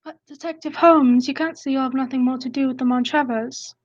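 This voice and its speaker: A woman's voice: high voice, fairly even intonation, fairly quick, highs cut off. A woman playing an earnest, pleading young fiancée in a radio drama.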